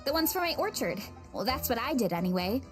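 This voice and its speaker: A woman's voice: on the high side, very animated, quick pace, soft background music. A woman voicing a cheerful, modest young woman in an anime-style video game, bubbly and self-effacing. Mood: friendly, earnest. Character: cheerful, modest young woman, big-eating competitor and orchard grower.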